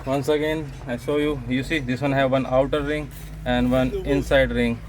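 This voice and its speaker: A man's voice: mid-range voice, flat delivery, moderate pace, heavy background noise. A man with an Indian accent and non-native English gives a casual hands-on repair tutorial in simple, repetitive phrasing. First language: Punjabi or Hindi. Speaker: repair channel host, machinery mechanic. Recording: music playing behind the voice.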